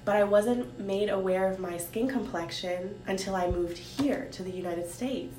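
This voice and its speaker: A woman from Haiti telling her life story in a casual talk, thoughtful and pausing with many ums. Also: darkish tone. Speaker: guest sharing her story. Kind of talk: casual conversation. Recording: roomy with faint rumble.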